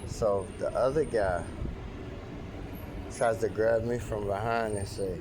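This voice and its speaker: A man's voice: lowish voice, slow pace, background noise. Slangy man, nearly fifty, venting. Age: 40s. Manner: animated, repetitive, streetwise slang. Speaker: airport wheelchair attendant. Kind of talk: casual storytelling vent.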